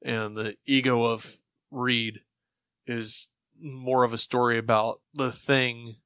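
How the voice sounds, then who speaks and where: A man's voice: mid-range voice, flat delivery, slow, sharp tone, smooth, no echo. A man co-hosting a podcast, chatting casually as he gives his opinions. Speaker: podcast co-host.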